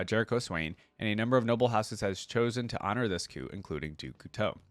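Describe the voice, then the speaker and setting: A man's voice: fairly low pitch, dry recording. A man hosting a reaction video, talking informally and thinking aloud, switching between casual commentary and reading aloud.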